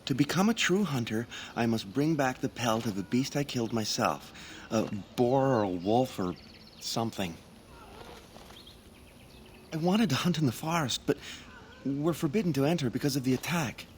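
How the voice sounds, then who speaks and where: A man's voice: mid-range voice, lively intonation, normal speed, slight background noise, lightly acted. A man playing a timid, lovesick young elf hunter apprentice in a video game, hesitant, stammering and easily frustrated. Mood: reluctant, downcast. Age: young adult. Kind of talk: dramatic game dialogue.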